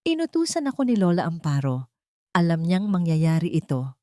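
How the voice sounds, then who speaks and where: A woman's voice: on the low side, big pitch swings, moderate pace, dark tone, no room sound, clean studio sound. Woman voicing anxious old Filipino driver. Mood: urgent, confiding. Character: anxious, urgent old male family driver.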